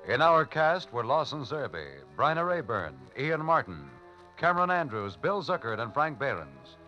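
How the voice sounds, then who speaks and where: A man's voice: medium pitch, big pitch swings, moderate pace. A man reading closing credits as a 1940s radio network announcer. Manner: crisp, announcing. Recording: dull lo-fi broadcast with faint music.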